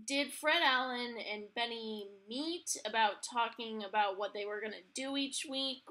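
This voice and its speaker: A woman's voice: mid-range voice, sharp tone, rough recording. A young woman hosting a podcast interview, asking questions in a casual way. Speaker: podcast host.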